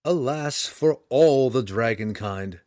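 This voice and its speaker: A man voicing a cunning male dragon trapped in a dark elf's body, who pleads and argues in a dramatic way in a storybook reading. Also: medium pitch, very animated, a little slow, no echo, clean studio sound. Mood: pleading.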